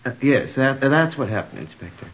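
A man's voice: medium pitch, very animated, normal speed, natural voice. An actor playing a flippant, garrulous man with macabre humour and wordy, mock-literary talk in a radio drama. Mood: macabre relish. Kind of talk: old-time radio drama acting. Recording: dull, muffled old radio recording.

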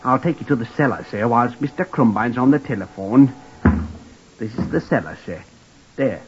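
A man's voice: mid-range voice, animated, moderate pace, background noise, natural voice. A man playing a simple, overly polite young hotel lift boy from Blackpool who keeps calling people sir, in 1940s radio acting style. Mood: eager. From Blackpool, Lancashire. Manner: overly polite, says sir constantly, apologetic. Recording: lo-fi vintage radio recording.

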